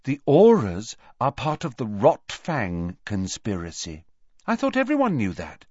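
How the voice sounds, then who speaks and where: A man's voice: medium pitch, huge pitch swings, a little slow, darkish tone, slightly rough, no room sound, muffled recording, heavily acted. A man voicing a dreamy, eccentric teenage girl in an audiobook, earnest and matter-of-fact.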